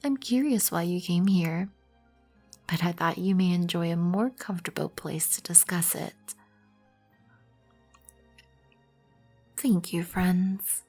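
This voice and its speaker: A woman playing a proud, composed vampire queen in an ASMR roleplay, with an imperious, formal manner and a breathy, husky voice. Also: lowish voice, measured pace, mellow. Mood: composed, commanding. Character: regal, composed, proud vampire queen, protective of her colony. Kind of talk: ASMR roleplay, scripted second-person acting. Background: background music.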